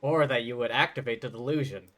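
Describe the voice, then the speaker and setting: A man streaming a story game, recapping and reading along deliberately with dry asides. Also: medium pitch, background noise. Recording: game music behind the voice.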